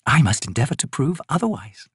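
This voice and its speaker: Grand, flirtatious fantasy sorceress as a male narrator plays her, imperious and lilting, high, very animated delivery. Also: a little fast, slightly rough, no room sound, studio quality, exaggerated voice. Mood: challenging. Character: haughty, teasing noble sorceress, female, flirtatious and grand.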